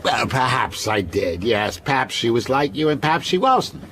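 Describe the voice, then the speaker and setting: Radio drama: genial yet unsettling male storyteller, animated intonation, teasing and deliberate, noisy train background. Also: mid-range voice. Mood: storytelling. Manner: measured, coy, teasing. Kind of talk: radio drama acting, telling a ghost story.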